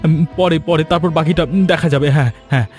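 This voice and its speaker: A man's voice: a little high, quick pace, a little mellow, soft background music, close to an everyday voice. A man playing a practical, reassuring elder brother in a cartoon family drama, a little hesitant. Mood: concerned, practical.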